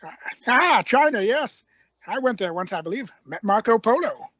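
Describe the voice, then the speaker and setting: Woman playing a husky, whimsical eccentric doctor. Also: fairly high pitch, animated, a bit bright, no echo. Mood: vague, musing. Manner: halting, musing. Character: whimsical, evasive, eccentric time-traveling doctor. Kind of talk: live script reading, radio play.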